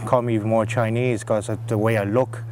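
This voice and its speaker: A man's voice: fairly low pitch, little pitch movement, moderate pace, a little mellow, slight background noise. A young man answering interview questions casually and hesitantly, with many likes and uhs. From Ireland.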